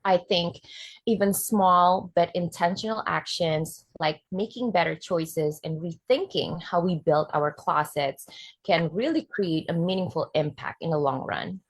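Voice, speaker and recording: A woman from Indonesia presents her talk in an earnest webinar style. Her voice sits fairly low, and the sound is dry and close, with no room around it. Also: unhurried, breathy edge, no echo. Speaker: guest speaker, fashion designer.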